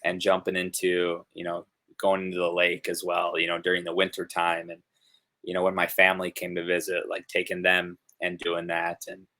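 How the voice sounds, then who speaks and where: A young man with a Northern US accent, a hockey player chatting casually on a video call, relaxed and rambling with many fillers. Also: normal speed. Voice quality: gravelly low voice. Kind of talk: casual interview chat. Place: home room, video call.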